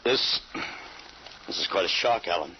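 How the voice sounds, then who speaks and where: A man's voice: low-pitched, measured pace. A man playing a doubting, bewildered American father in an old radio drama, with a hesitant, incredulous way of speaking. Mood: shaken. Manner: hesitant, incredulous, repeats words. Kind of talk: 1940s-50s radio drama acting. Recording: muffled, noisy old radio recording.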